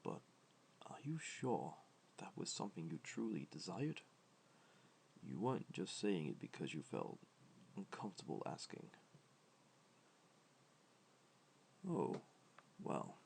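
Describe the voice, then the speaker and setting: A man voicing a gentle, ancient god who speaks softly, tenderly and with measured hesitation, in an ASMR comfort roleplay. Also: sing-song, a little hiss or hum, heavily acted. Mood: tender, reassuring. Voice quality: low, soft, creaky, breathy at times.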